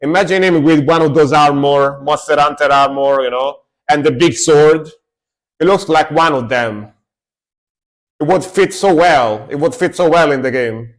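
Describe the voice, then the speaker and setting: A man's voice: fairly high pitch, moderate pace, rough recording. Chatty man reacting to metal songs. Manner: chatty, loose, hums and mimics riffs. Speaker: music reaction streamer. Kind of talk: casual reaction commentary.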